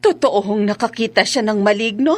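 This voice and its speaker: A woman's voice: medium pitch, a little fast, faint music behind, close to an everyday voice. An actress playing an anxious, superstitious middle-aged mother with a hesitant manner in a Filipino horror radio drama. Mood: fearful. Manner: fretful, hesitant.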